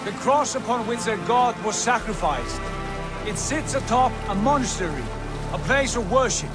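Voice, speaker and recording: A stern Viking chieftain lays out plans in measured, authoritative tones. His voice sits fairly high for a leader, and loud music swells beneath him. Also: lively intonation. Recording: game soundtrack music underneath.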